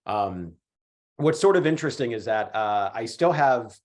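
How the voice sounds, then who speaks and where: A hoarse man in his forties with a chest cold, a history professor giving a casual online lecture with many fillers and restarts. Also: medium pitch, expressive, moderate pace. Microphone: computer mic on a video call.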